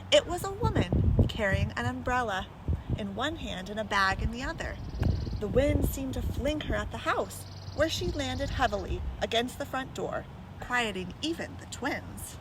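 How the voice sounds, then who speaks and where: Woman, children's read-aloud storyteller. Higher register, lively swooping delivery, chatty direct address; noisy outdoor sound. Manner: addresses young listeners directly with questions.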